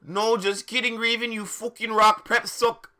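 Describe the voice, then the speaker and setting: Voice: medium pitch, flat delivery, moderate pace, bright, forceful tone, pitched above the performer's own voice, close to an everyday voice. A performer voicing a defensive, bratty teenage girl author with a whiny, petulant manner. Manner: petulant, foul-mouthed.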